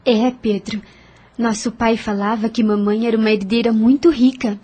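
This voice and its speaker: Woman playing a breathy, gentle young heiress. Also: a little high, fairly even intonation, normal speed, close to an everyday voice. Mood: hopeful. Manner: polite, earnest. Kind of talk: audio drama acting.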